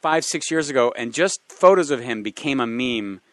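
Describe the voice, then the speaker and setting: A man's voice: mid-range voice, expressive, normal speed, fairly bright tone, no room sound. A man chatting on a radio talk show, hesitant and restarting his sentences. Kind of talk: casual radio chat.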